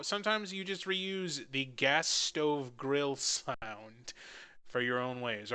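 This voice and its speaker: A young man with a bright, crisp voice at a middling pitch talks casually through an editing tutorial. He is offhand and self-deprecating, recorded close on the mic as a voice-over. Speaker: audio drama editor giving a tutorial.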